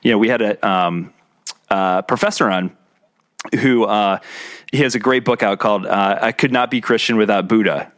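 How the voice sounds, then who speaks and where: A man's voice: lowish voice, normal speed, fairly bright tone. A man hosting a podcast, talking casually and reacting with enthusiasm, while losing his voice. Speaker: podcast host. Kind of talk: casual conversational interview.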